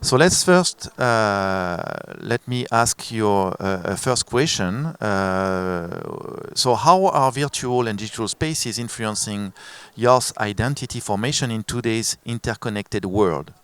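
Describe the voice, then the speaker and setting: A man moderating a conference panel, talking haltingly with many fillers and restarts. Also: on the low side, lively intonation, clean tone.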